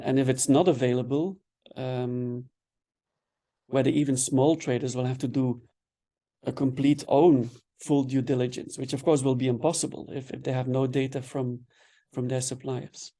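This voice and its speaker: Man, lecturer on a video call, mellow tone, easygoing explanatory manner. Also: medium pitch. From Belgium. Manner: relaxed, explanatory, frequent "right?" tags and repeated words. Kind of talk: online webinar lecture answering questions.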